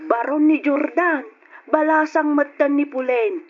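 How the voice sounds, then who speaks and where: A woman's voice: sits high, fairly even intonation, unhurried, phone-quality sound. A woman playing a kindly elderly grandmother who gives soothing, emphatic advice in an old radio drama, with a Filipino accent. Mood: reassuring.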